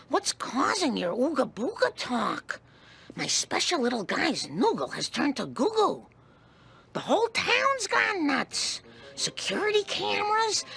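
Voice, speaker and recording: Middle-aged cartoon housewife: gravelly, bright, doting and anxious. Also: female voice, high voice, exaggerated voice. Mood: doting concern. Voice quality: raspy, gravelly. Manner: anxious, fretful, motherly. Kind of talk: animated cartoon game acting.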